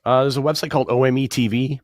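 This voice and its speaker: A man's voice: medium pitch, rapid-fire, no echo. A man whose voice is going after bronchitis, joking drily as a guest in a casual interview. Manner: dry, deadpan joking. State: losing his voice after bronchitis. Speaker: comedian guest.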